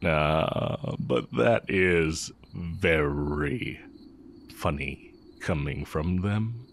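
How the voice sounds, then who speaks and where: Wicked sorcery professor male actor: extremely low, slow, gravelly drawl, smug and sardonic, for romance audio roleplay. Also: lively intonation, exaggerated voice. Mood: sardonic, scornful. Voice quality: very deep, creaky. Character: evil male magic teacher, heretic, smug, amused, fond of former student.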